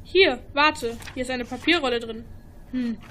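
A woman's voice: high voice, measured pace, fairly bright tone, slight background noise. A teenage girl acting an inquisitive, slightly bossy schoolgirl in an amateur radio play. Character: schoolgirl, inquisitive puzzle-solver, a bit bossy.

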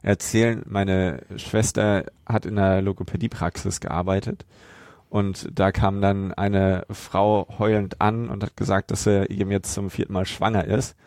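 A younger man chats casually as a podcast co-host. His voice is fairly low, and the sound is close and dry, with hardly any room to it. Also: little pitch movement, a little slow, a little mellow, dry recording. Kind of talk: casual chat.

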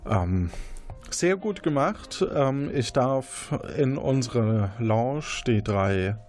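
A man's voice: medium pitch, lively intonation, very slow, a little mellow, faint music behind. A man running a detective role-play as game master, easygoing and encouraging.

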